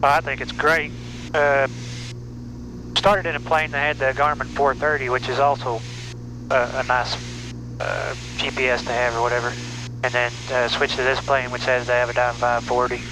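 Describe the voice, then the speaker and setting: Heavy engine noise sits behind an American man with an average-pitched voice. He chats casually as a student pilot riding along, picked up on an aviation headset. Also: little pitch movement, moderate pace. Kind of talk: casual chat. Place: back seat of small airplane. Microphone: aviation headset mic. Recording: loud aircraft hum, headset intercom.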